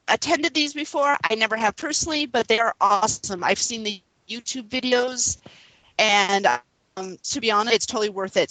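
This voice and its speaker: A woman co-hosting a casual fan podcast, excited and giggly, with many ums between thoughts. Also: fairly high pitch, no room sound, low-quality recording. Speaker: podcast co-host.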